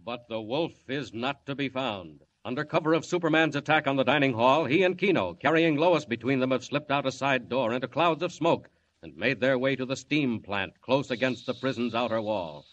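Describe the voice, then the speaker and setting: A man's voice: mid-range voice, normal speed, highs cut off. A man narrating a 1940s radio serial in a dramatic, urgent announcer manner.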